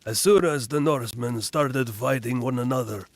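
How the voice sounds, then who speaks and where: An actor playing a weathered, foreboding old hermit in a video game cutscene, with a raspy voice. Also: male voice, medium pitch, moderate pace, no echo, natural voice. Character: weathered old man, cryptic doomsaying northern hermit.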